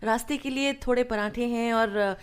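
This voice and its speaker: Actress as fussing, affectionate big-sister hostess. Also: female voice, on the high side, fairly even intonation, moderate pace, clear, resonant tone, lightly acted. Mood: affectionate, insistent. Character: hospitable, affectionate older sister figure hosting a guest. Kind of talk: Hindi radio play acting.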